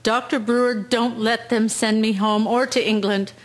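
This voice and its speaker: A woman acts a wounded army nurse in a radio drama. Her voice is on the high side. She comes across as tough, earnest and determined. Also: fairly even intonation, normal speed, close to an everyday voice.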